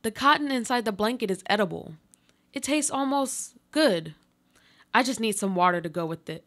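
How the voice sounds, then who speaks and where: A woman acting in a live radio play as a trapped woman stubbornly clinging to life, speaking in solemn, deliberate monologue. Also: fairly high pitch, lively intonation, fairly bright tone. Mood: stoic, hopeful.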